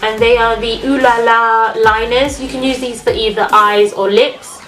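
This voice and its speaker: A woman with a slightly high voice chats her way through a makeup routine, sounding nasal and stuffed up from a cold. Her talk is loose and friendly as she works. The room is echoey and music plays clearly behind her. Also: steady tone, normal speed, fairly bright tone. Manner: chatty, talks while applying makeup. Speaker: beauty vlogger. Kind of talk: casual makeup tutorial.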